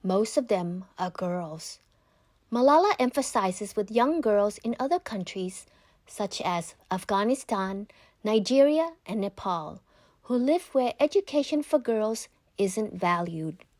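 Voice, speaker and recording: A woman narrating a children's book aloud in a scripted, deliberate and expressive read-aloud style. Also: mid-range voice, no echo, studio quality.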